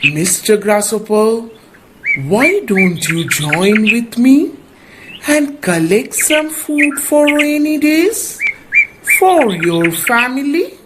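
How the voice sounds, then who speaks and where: A woman voicing a kindly, hardworking male ant in a children's puppet-show story, slow, singsong and earnest. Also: on the high side, animated. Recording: noisy track with chirping birds.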